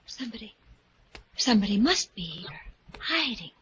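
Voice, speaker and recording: An actress playing an anxious, coaxing wife in a haunted-house mystery, in 1940s radio drama style. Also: female voice, a little high, big pitch swings, normal speed, slightly rough, highs cut off, full character voice. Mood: bewildered, frightened. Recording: noisy, band-limited old radio recording.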